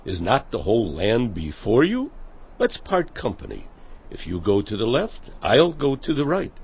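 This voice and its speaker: A man playing Abram, husky and earnest. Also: lowish voice, huge pitch swings, moderate pace, heavily acted. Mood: conciliatory. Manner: earnest, reasoning, conciliatory. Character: Abram, elder patriarch, peacemaking and generous. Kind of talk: dramatized scripture reading. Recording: dull lo-fi audio.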